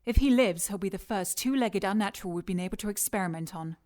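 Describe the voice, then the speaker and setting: A woman's voice: medium pitch, fast talker, darkish tone, close to an everyday voice. Icy female commander played by an actress, medium register, clipped orders, ruthless authority, for radio drama. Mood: cold, commanding. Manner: curt, commanding, cold. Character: cold commanding female leader of operatives.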